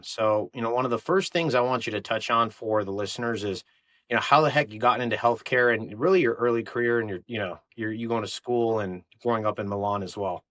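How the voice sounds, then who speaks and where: A man's voice: medium pitch, fairly bright tone. Eager podcast host man. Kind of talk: podcast interview.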